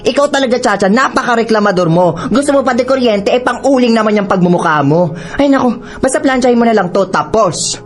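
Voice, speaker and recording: Actress as a spoiled, sassy young bossy girl, wildly animated. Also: on the high side, brisk, faint music behind, lightly acted. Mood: bossy, taunting. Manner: sassy, slangy Taglish with haughty commands. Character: spoiled, mocking young woman bossing her housemaid cousin. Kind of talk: radio drama acting.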